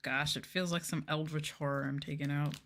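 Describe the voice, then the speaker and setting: A soft-spoken woman streaming ASMR, casually chatting to viewers as the host and describing what the hands are doing, close to the microphone. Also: low voice, level tone, a little fast. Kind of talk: casual ASMR soft talking to live chat. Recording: close mic with clear handling noise. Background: crinkling, clicking and handling noises.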